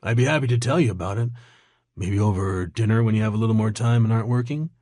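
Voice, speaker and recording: A man's voice: on the low side, fast talker, dark tone, no echo, clean studio sound, natural voice. A man performing an easygoing, casually flirtatious male traveler in audiobook dialogue. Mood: smooth, flirty.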